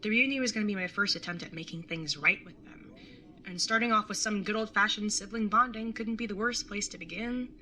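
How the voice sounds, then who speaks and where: A woman's voice: medium pitch, fairly quick, sharp tone, a little hiss or hum, close to an everyday voice. A woman playing a wry, jaded young artist bartender in an audio drama, sardonic and casually sweary as narrator and older sibling. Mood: regretful, candid. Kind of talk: first-person audio drama narration and dialogue.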